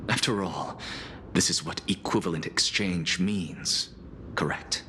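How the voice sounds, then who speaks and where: A man's voice: low voice, unhurried, pushed and bright, slight background noise. A man playing a proud, defiant young scholar in a video game, speaking in a formal, theatrical way. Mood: smug, challenging. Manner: theatrical, formal, pointed questioning. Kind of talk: dramatic game voice acting. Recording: echoey long reverb, music underneath.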